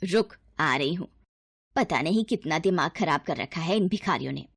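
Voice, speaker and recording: A woman's voice: low-pitched, lively intonation, normal speed, gravelly, husky, dry recording, clean studio sound, pitched below the performer's own voice, heavily acted. A woman voicing a middle-aged mother-in-law in a children's moral story, haughty and sarcastic in manner. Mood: scornful, sarcastic. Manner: sarcastic, scolding.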